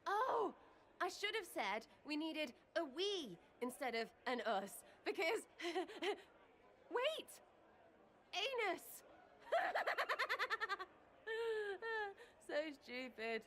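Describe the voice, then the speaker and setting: A woman's voice: extremely high pitch, very animated, extremely slow, a bit bright, exaggerated voice. A woman voicing a cheeky, irreverent young rebel prankster in a video game, with blunt, playful, slangy delivery. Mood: giddy. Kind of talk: video game cutscene acting.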